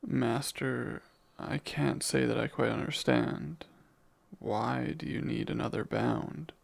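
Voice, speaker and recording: A man playing a devoted, jealous and menacing demon in an ASMR romance roleplay, speaking in formal, deliberate phrases with a gravelly voice. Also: mid-range voice, steady tone, exaggerated voice. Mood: jealous, questioning.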